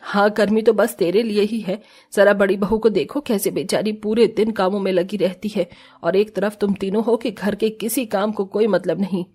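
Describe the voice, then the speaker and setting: Cartoon matriarch woman acting: medium pitch, stern, scolding, commanding mother-in-law. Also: steady tone, moderate pace, warm tone, husky, natural voice. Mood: scolding, sarcastic. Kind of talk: cartoon family drama acting.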